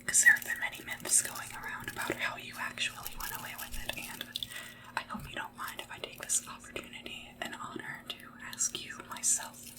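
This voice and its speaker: A woman speaking in a soft, breathy whisper, gently attentive and deferential, as in an ASMR roleplay of a personal sleep attendant. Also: fairly quick, far from the mic, low-quality recording. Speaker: ASMR roleplay creator playing a sleep assistant.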